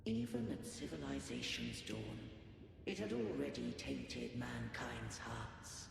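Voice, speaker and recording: A man's voice: medium pitch, wildly swooping pitch, takes it slowly, creaky, heavily acted. An actor playing an ancient, solemn male guardian of a fallen civilization in a video game, with grave, stately, archaic speech. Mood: solemn, foreboding. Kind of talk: dramatic video game voice acting. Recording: long echoing reverb, music underneath. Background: game music.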